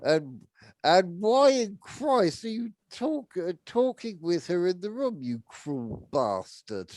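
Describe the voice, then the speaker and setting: Man voicing an indignant, blunt mercenary, raised pitch. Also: sing-song, takes it slowly, a little mellow, no room sound, full character voice. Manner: blunt, cursing, emphatic. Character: indignant, foul-mouthed, weary mercenary man with a conscience.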